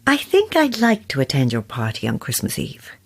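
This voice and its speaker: An actress playing a sceptical, guarded horror novelist in a naturalistic audio drama, speaking in a dry, curt way. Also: female voice, extremely low pitch, huge pitch swings, moderate pace, gravelly, slightly rough, heavily acted.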